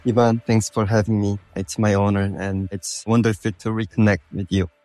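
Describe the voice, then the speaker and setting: A man in his thirties from North Korea with a Korean accent, answering interview questions in a halting, thoughtful, explanatory way. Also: lowish voice, level tone, darkish tone, dry recording, soft background music. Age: 30s. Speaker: podcast guest recounting his life.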